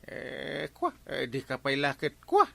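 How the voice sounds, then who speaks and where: Sly stammering village buddy, male actor: hugely animated, bright voice, close dry sound, Ilocano accent, comedy drama. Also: mid-range voice, unhurried, vocal fry, dry recording, exaggerated voice. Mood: sly, hesitant. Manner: stammering, evasive, full of hems and haws. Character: hesitant, sly village buddy angling for a favour.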